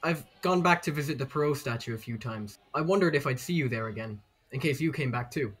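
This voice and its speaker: A low-voiced performer playing an awkward, hesitant young kitchen boy in a children's audio drama. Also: expressive, fairly quick, husky, heavily acted. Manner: hesitant, understated.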